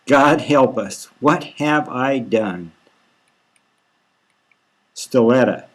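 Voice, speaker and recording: A man reading his own dark satirical novel aloud as a dramatic first-person narrator, in a brooding, halting manner. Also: mid-range voice, poor audio. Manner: brooding, halting delivery with rhetorical questions.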